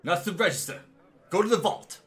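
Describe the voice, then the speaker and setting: A man's voice: high-pitched, a little fast, slight background noise, natural voice. A man playing a bossy, grumbling Old West gang leader in a comic audio drama. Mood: commanding. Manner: commanding, grumbling. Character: bossy, exasperated male outlaw gang leader.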